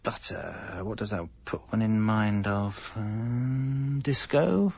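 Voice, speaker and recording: Casting: wry, hapless separated father, radio comedy; lowish pitch, slightly muffled recording with music. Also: slow pace, creaky, darkish tone, no echo, heavily acted. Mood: muttering, distracted. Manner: rueful, wry, muttering to himself. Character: hapless, self-pitying separated dad and jingle composer. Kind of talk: radio comedy monologue and dialogue.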